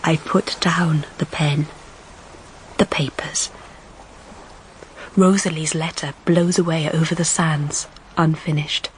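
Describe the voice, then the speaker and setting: An actress playing an earnest, idealistic young Victorian governess in a radio drama, speaking in a measured, heartfelt, literary way. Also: female voice, low voice, fairly even intonation, a little hiss or hum, poor audio. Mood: tender, rapt. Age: young adult. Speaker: actress and narrator of a period drama. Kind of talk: period radio drama acting and narration.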